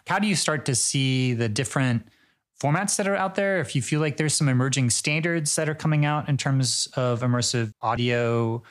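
A man's voice: mid-range voice, moderate pace. A man hosting a podcast, introducing a guest and asking loose, casual questions with many fillers. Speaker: podcast host.

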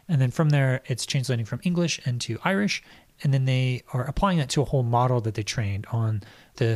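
A man with a crackly, strained voice, like someone who has been talking for days, introduces a podcast episode at a quick clip. The sound is dry and close, with no room around it. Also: medium pitch, a little mellow, dry recording. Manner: explanatory, uses fillers like "like" and "kind of". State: losing his voice, crackly. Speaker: podcast host.

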